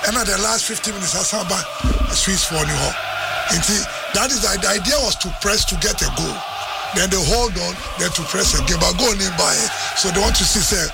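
Gravelly football pundit. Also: male voice, high-pitched, brisk, over loud music. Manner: explaining calmly, mixing Twi and English. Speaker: co-commentator. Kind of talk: tactical match analysis.

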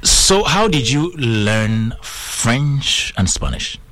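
A man hosts a relaxed interview, asking curious questions. His pitch swings widely and expressively as he talks. He sounds engaged and quick to react. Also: slight background noise. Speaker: interview host. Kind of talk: casual interview conversation.

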